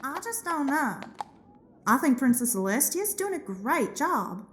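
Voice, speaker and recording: A woman's voice: sits high, sing-song, measured pace, fairly bright tone, slight background noise, heavily acted. A woman playing a simple, trusting pony townsperson in a fan radio drama, folksy and hesitant. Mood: doubtful.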